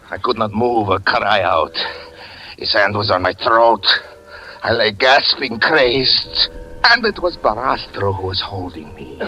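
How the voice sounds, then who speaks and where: A man's voice: on the low side, bright, forceful tone, full character voice, poor audio. An actor in 1940s radio acting style, playing a haunted man who breathlessly recounts his own murder. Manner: intense, breathless dramatic narration.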